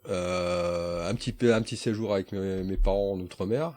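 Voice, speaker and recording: Deep-voiced relaxed French man. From northern France. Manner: relaxed, halting, laughs often. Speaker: podcast guest, former naval commando. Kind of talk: casual interview talk.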